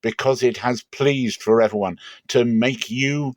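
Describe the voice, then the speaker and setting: A man's voice: mid-range voice, steady tone, a little slow, dry recording, close to an everyday voice. Guy doing an impassioned old testament prophet, stern preachy delivery, bible reading, no room sound. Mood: earnest exhortation. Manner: declamatory, exhorting. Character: stern, impassioned male prophet addressing his people. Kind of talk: dramatic scripture reading.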